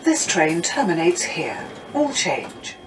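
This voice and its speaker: A woman's voice: fairly low pitch, big pitch swings, takes it slowly. A woman with a British accent making recorded announcements inside a train carriage. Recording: noisy train carriage, roomy, distant microphone.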